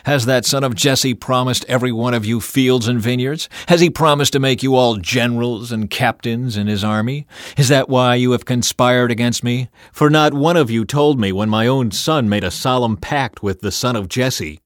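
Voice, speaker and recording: A man's voice: lowish voice, fairly quick, hardly any pauses, no echo, studio quality, natural voice. A man voicing an angry, paranoid king with a commanding, demanding delivery, in a dramatised Bible audiobook. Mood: bitter, accusing. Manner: forceful, demanding, shouted delivery.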